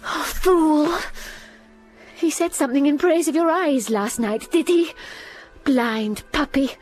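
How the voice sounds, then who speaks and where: A woman's voice: sits high, slow pace, darkish tone. An actress playing a plain, self-critical young governess who narrates her own story in a radio drama. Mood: self-scolding. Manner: introspective, self-mocking. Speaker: narrator and heroine. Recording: music under the voice. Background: music.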